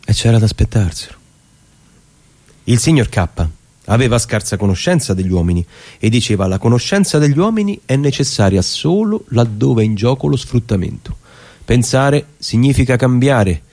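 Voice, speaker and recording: A man's voice: mid-range voice, animated, normal speed, a little mellow. Man reciting a literary text on stage. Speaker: stage performer.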